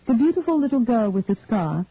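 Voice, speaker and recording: A woman reading a detective story aloud in a measured, unhurried way. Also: on the high side, big pitch swings. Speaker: reader. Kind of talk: scripted narration of a detective story. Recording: husky, tinny, dry phone-like sound.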